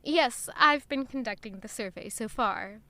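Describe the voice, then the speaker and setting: A woman's voice: on the high side, unhurried, sharp tone, no room sound. A woman acting a spirited, guarded young noblewoman and lady-in-waiting, in audio drama dialogue and narration. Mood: composed, businesslike.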